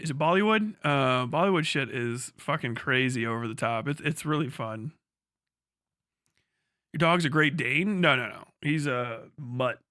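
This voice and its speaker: Bright-voiced man chatting on a live stream. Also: mid-range voice, dry recording, studio quality. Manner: rambling, sweary, jokey. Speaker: livestreamer. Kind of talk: casual live-stream chat with viewers.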